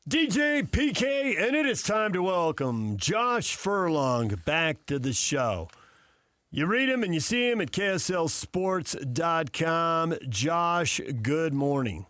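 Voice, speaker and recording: A man's voice: fairly high pitch, big pitch swings, slow pace, a bit bright, no echo, clean studio sound. A man hosting a sports talk radio show, introducing segments and putting questions to guests.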